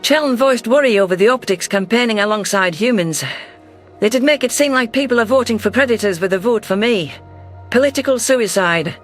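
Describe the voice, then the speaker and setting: Sci-fi audiobook narrator: man with a high voice, first-person scripted delivery over quiet music. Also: animated, fairly quick. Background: faint music.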